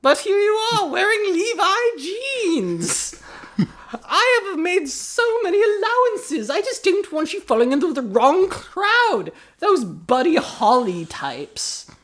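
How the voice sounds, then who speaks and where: A woman voicing a fussy, old-fashioned ninety-year-old grandmother detective in an improvised comic radio play, with prim, drawn-out phrasing. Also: very high-pitched, very animated, fairly bright tone, voice pushed up, exaggerated voice. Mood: prim, disapproving. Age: 90s.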